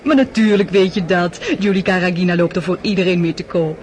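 An actress playing a worldly, scheming society countess with a honeyed, flattering manner. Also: female voice, lowish voice, a little fast, slight background noise, natural voice. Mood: coaxing. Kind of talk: radio play acting.

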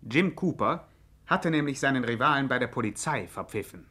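An actor as a second narrator in a vintage radio play, adding dry, wry asides. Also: male voice, medium pitch, lively intonation, a little fast, bright, forceful tone, slightly rough. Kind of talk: scripted 1950s radio narration.

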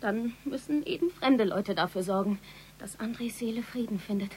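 An actress playing an insistent, grief-driven daughter in a radio crime drama, pressing and reproachful. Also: female voice, a little high, lively intonation, normal speed, a little mellow, a little hiss or hum, close to an everyday voice. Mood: urgent, hushed. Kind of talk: German radio play acting.

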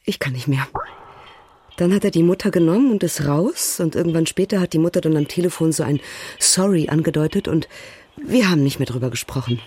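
A woman's voice: low voice, expressive, brisk, dark tone, husky. A woman playing an exasperated, assertive mother telling family stories in a conversational radio play monologue. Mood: weary. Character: exasperated, assertive German mother recounting family quarrels in Beijing.

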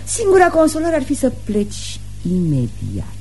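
A woman's voice: high-pitched, huge pitch swings, music in the background, heavily acted. An actress playing a caustic, world-weary middle-aged mother in a radio play, sardonic, scolding and self-dramatizing. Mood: dismissive. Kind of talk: radio theatre acting.

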